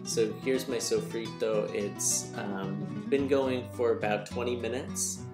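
A young man giving a calm, step-by-step cooking lesson as voice-over. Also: medium pitch, slow pace, loud music behind the voice. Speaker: cooking teacher. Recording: distant echoey room with noise, video call.

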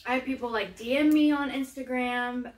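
A woman's voice: high-pitched, fairly even intonation, normal speed. A woman in her early thirties, co-hosting a casual eating-show chat, candid and full of "you know" and "like". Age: early 30s. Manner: chatty, candid, many "you know" and "like" fillers. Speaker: co-host. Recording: echoey room.

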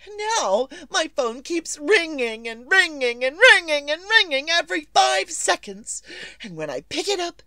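Fretful bedridden wife, radio thriller; high voice, swooping intonation, imperious and easily frantic. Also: female voice, big pitch swings, measured pace, sharp tone, no echo, full character voice. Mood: irritated, demanding. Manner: fretful, imperious, stammering and repeating herself. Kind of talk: 1940s radio suspense acting.

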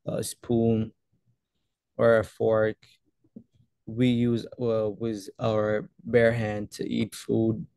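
A young man from Ethiopia, a guest on a video call interview, answering casually with many pauses and restarts. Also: lowish voice, dark tone, dry recording. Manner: hesitant, many fillers and restarts. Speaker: guest photographer.

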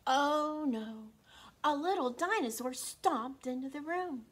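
A woman in her sixties with a slightly froggy throat, hosting an interactive read-aloud for young children in an animated, encouraging way. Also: sits high, slow. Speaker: children's storytime host at a library. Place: small indoor room. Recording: constant room noise.

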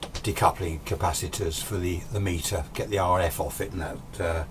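A man's voice: sits low, normal speed. A British man in his sixties giving an informal technical explanation in a casual, rambling, self-deprecating way, as a hobbyist presenter. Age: 60s. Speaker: amateur radio hobbyist presenter. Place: small indoor home radio workshop. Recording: steady background noise.

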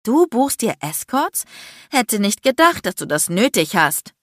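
A woman's voice: medium pitch, sing-song, moderate pace, no room sound, clean studio sound, pitched above the performer's own voice, close to an everyday voice. A woman voicing a defiant, wounded, indignant young woman in an audiobook, with heated emotional delivery. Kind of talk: dramatic audiobook character acting.

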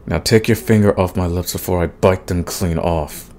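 A man playing a big, grumpy but soft himbo demon in a romantic comedy audio roleplay, husky and stammering in protest. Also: low voice, fairly even intonation, moderate pace, slight background noise, lightly acted. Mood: flustered, indignant. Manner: grumbling, sighing, stammering protests. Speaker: voice actor.

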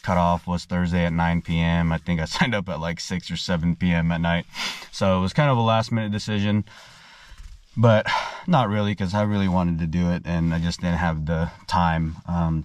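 A man's voice: low voice, dry recording. A young man talking casually to camera outdoors before dawn for a fishing vlog, rambling with lots of ums and uhs. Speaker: fishing vlogger.

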